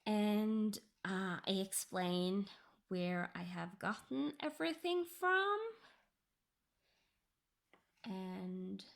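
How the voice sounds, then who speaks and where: Norwegian lady talking slow about her stitching, soft and half whispery, english not her first language. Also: medium pitch, expressive, creaky. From Norway. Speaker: cross-stitch vlogger. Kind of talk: casual tutorial chat.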